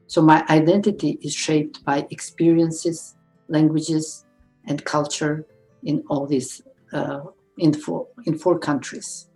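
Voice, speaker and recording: Low-voiced woman with soft music. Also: low-quality recording. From Uzbekistan. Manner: thoughtful, pausing with fillers. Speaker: librarian. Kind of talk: interview answers.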